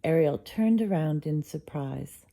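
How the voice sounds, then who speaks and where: Woman, storybook reader, low-pitched, mellow, expressive bedtime narration. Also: measured pace, gravelly.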